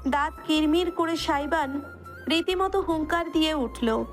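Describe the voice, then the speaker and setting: A woman's voice: high-pitched, a little slow, soft background music. A woman narrator reading a romance story as dramatic scripted narration.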